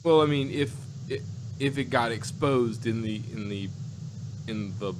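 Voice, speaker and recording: A man co-hosting a casual review, talking in a rambling, halting way and acting out imagined reactions. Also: medium pitch, slight background noise. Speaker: podcast co-host reviewing audio dramas. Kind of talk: casual unscripted review chat.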